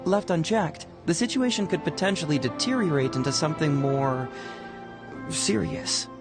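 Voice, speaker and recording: An actor playing a young male detective in a video game, breezy, quick-witted and self-assured, with a smooth, playful delivery. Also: on the high side, lively intonation, music in the background. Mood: thoughtful, earnest. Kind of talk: scripted game voice acting.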